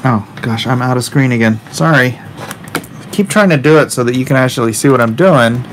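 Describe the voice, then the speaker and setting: A man's voice: medium pitch, fairly quick, slight background noise. A man in his forties chatting casually on a live drawing stream, slangy and offhand as he reads and answers viewer comments. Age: 40s. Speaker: comic artist hosting a live drawing stream. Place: indoors at a drawing desk.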